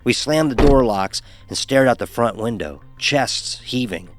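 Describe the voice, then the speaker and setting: A man's voice: medium pitch, animated, unhurried. Man, horror story narrator, wry, dramatic, mid-range voice, faint music and insects behind.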